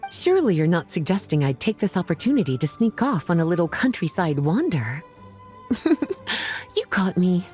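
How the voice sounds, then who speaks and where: A woman's voice: lowish voice, big pitch swings, normal speed, a little mellow, faint music behind. A woman voicing a worldly, self-assured and playful lady in a video game, articulate, teasing and affectionate. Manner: teasing, flirtatious, articulate, savoring her words. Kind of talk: scripted video game dialogue.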